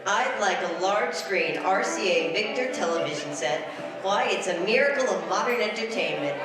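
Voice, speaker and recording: An actress playing an eager young boy pitching a gift to Santa in a 1940s-style radio drama, in a peppy, earnest tone. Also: lively intonation, moderate pace, over a noisy crowd, close to an everyday voice. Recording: echoey hall from far off with audience.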